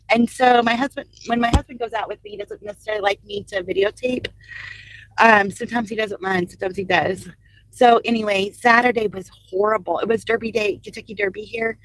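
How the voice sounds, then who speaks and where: A woman's voice: on the high side, poor audio. An older American woman from the Louisville area, chatting casually on a live stream while driving deliveries, rambling and answering viewers' comments, a bit congested from allergies. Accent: Southern Indiana / Louisville area. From United States. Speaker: vlogger driving deliveries, reading live chat. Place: in a car.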